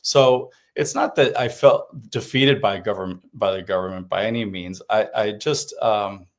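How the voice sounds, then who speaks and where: A man's voice: on the low side, normal speed. A man from Puerto Rico whose first language is Spanish, a guest reflecting in an interview with frequent restarts and fillers. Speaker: podcast guest. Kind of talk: conversational interview.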